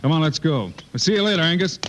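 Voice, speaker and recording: A man playing a steady, shrewd frontier lawman hero with an American accent in an old-time radio western. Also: on the high side, fast, lightly acted. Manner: firm, level-headed. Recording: dull, noisy vintage radio recording.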